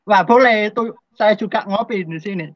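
High-voiced man hosting a podcast. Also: normal speed, no echo. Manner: enthusiastic, friendly questioning. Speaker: podcast host. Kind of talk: casual interview. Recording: dry, dull lo-fi sound.

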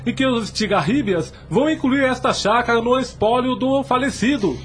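A man's voice: very high-pitched, moderate pace, a bit bright, a little hiss or hum. An actor playing an anxious, loyal male servant in a radio soap opera, speaking urgently with formal deference. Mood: urgent, worried.

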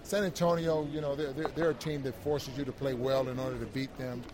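A man co-hosting a sports show, giving casual basketball analysis in a halting way, repeating words as he builds each point. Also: medium pitch, little pitch movement. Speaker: basketball broadcast co-host, analyst. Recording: crowd noise behind the voice.

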